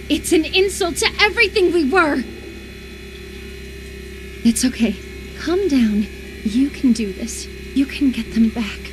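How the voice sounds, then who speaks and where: A woman's voice: high voice, background noise. A woman voice-acting an unhinged, obsessive lover in a horror visual novel, intense and volatile, swinging between tenderness and menacing fury. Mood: furious disgust, then anxious self-soothing. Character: unhinged, obsessive lover convinced an impostor replaced their beloved.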